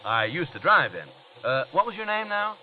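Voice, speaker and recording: An actor playing a chatty, sociable, nosy train passenger with a folksy, inquisitive manner, in old radio drama style. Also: male voice, high voice, very animated, moderate pace. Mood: friendly, prying. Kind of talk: 1940s-50s radio acting. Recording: dull old radio broadcast, faint noise.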